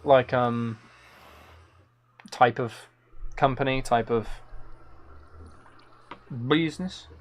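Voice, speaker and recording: A man's voice: mid-range voice, very slow, long pauses. Man chatting casually on a live stream. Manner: rambling, playful, thinking aloud. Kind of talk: casual chat while playing a game live.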